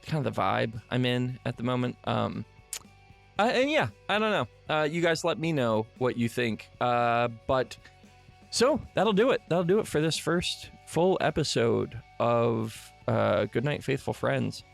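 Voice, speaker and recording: Casual male podcast host with gravelly creak. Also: medium pitch, big pitch swings, a little slow, soft background music. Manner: self-deprecating, informal. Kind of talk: casual solo podcast talk to listeners.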